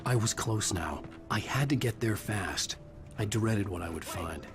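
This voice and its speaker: A man's voice: fairly low pitch, animated, measured pace. A man playing a troubled, sardonic writer in a video game, speaking in terse, brooding lines with a defiant streak. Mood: urgent dread. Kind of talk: dramatic game narration and dialogue. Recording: music playing behind the voice.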